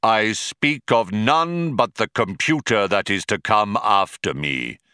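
A man plays a vast, patient supercomputer in an audiobook. The delivery is slow and grand, mild but pompously declamatory, with a bright, close, dry sound. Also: lowish voice, lively intonation, clean tone, no room sound, heavily acted. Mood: solemn, declamatory.

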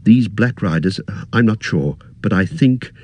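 A man's voice: fairly low pitch, expressive, a little slow, a little mellow, breathy edge, dry recording. A man reading aloud, voicing a tired, worried young male hobbit who speaks politely.

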